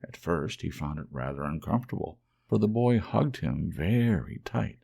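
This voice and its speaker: Male ASMR audiobook narrator: deep, animated intonation, measured children's storytelling. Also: vocal fry, husky.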